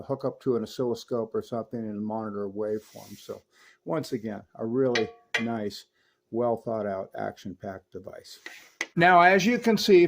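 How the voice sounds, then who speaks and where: A man presenting a hands-on product demo with an enthusiastic manner. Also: mid-range voice. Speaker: host demonstrating radio gear.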